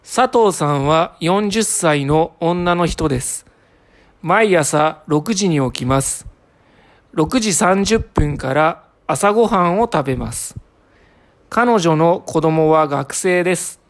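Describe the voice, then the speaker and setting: A woman's voice: sits low, animated, drawn-out words. A woman from Japan reading short lesson passages aloud carefully, as a native speaker for learners.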